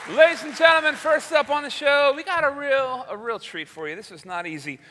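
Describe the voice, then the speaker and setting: A man's voice: extremely high pitch, a little fast, pushed and bright, a faint crowd, slight background noise. A man playing a genial, rambling veteran host of an old-time radio show on stage. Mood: showy. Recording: echoey hall with long reverb.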